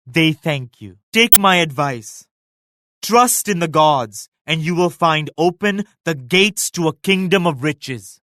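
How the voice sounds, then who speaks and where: An actor playing a proud, pious emperor in a video game, speaking in a solemn, formal, measured way like an orator. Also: male voice, a little high, fairly bright tone, dry recording, clean studio sound. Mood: pious, resolute. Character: proud, pious emperor, stately and morally stern. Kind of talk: scripted video game voice acting.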